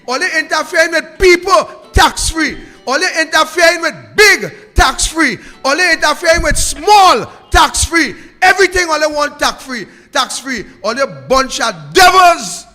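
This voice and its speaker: A man's voice: extremely high pitch, sharp tone, in a large hall. A Trinidadian man hosting a live political talk show, delivering a scornful rant full of repeated rhetorical questions. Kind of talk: ranting live political commentary.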